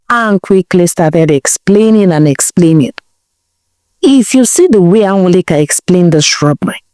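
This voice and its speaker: A woman telling a village folktale in a dramatic, expressive way, now and then asking the listener questions. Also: mid-range voice, dry recording. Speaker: storyteller. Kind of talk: folktale storytelling narration.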